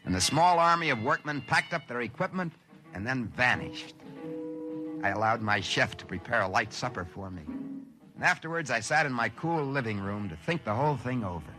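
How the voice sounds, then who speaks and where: A male actor playing a crafty, smug drifter who narrates his own tale in expressive 1950s radio drama style. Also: medium pitch, normal speed, natural voice, rough recording. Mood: pleased musing. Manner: expressive, theatrical storytelling. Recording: vintage 1950s radio drama, music behind.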